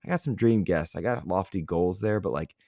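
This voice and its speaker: A man's voice: low-pitched, brisk, mellow, no room sound, studio quality. A man hosting a gaming podcast, earnest and reflective, whose voice is getting worn. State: losing his voice. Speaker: podcast host. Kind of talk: casual podcast chat.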